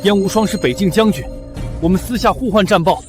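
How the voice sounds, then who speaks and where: An actor playing a remorseful disgraced general in a costume short drama, solemn and beseeching, with music behind. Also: male voice, high-pitched, a little fast, close to an everyday voice. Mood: remorseful, confessing. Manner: earnest, solemn, pleading. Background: dramatic background music.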